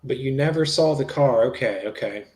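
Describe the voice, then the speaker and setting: A man's voice: medium pitch, normal speed, darkish tone, close to an everyday voice. A man reading the part of a police interviewer in a dramatic transcript reading, asking conversational, matter-of-fact questions. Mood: probing, matter-of-fact.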